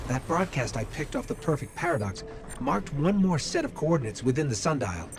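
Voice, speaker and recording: A man's voice: a little high, sing-song, a little fast. A man voicing a wry, encouraging robotic companion spirit in a video game, conversational and earnest. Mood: brisk, relieved. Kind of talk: cinematic video game voice acting. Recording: music behind the voice.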